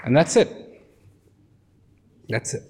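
A man's voice: takes it slowly, darkish tone, some chatter behind. A man giving a reflective talk to an audience, repeating phrases for emphasis and dropping at times to a near whisper. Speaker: speaker.

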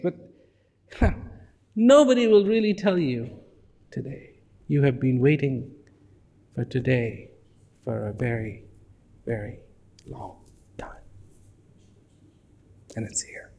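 Guy giving a slow talk to a crowd, voice swoops up and down a lot, says things twice. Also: mid-range voice, expressive, darkish tone. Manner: repetitive, emphatic, drops to near whispers. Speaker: speaker. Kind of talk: slow reflective talk to an audience.

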